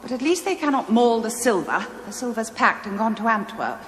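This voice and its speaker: Imperious upper-class English widow, declamatory TV drama delivery, lively pitch, long hall reverb. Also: female voice, a little fast. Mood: haughty, fretful. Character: haughty, imperious aristocratic English widow, fretful and snobbish. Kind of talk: theatrical television play dialogue. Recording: echoey stone hall, faint noise.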